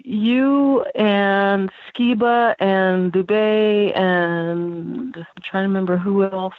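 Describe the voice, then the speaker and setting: A woman's voice: medium pitch, slow pace, dry recording. A woman phoning in to a radio show as a caller, chatting casually in an effusive, giggly way. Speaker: phone-in caller. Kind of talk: casual, admiring chat. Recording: tinny narrow phone line.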